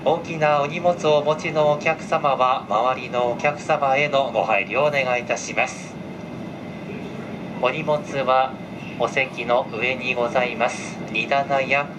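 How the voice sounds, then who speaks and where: A male train conductor speaks at a middle pitch in formal, courteous announcement style. His measured words come over the car's PA, with clear ventilation and vehicle noise around them. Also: highs cut off. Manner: very polite, measured, formal phrasing. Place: inside a train car. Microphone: camera picking up cabin loudspeaker.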